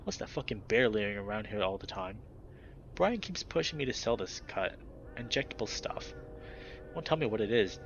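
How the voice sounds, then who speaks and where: A man's voice: lowish voice, very animated, moderate pace. A stout young fennec stoner who drawls crude, easygoing lines, as a man puts him on. The recording is a bit dull with a faint hiss behind it. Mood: laid-back. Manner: laid-back stoner drawl, swearing.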